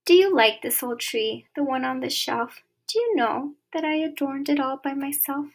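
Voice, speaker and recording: A woman voicing a kind, lonely old woman who talks softly and fondly to a stray cat in a storybook. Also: high voice, moderate pace.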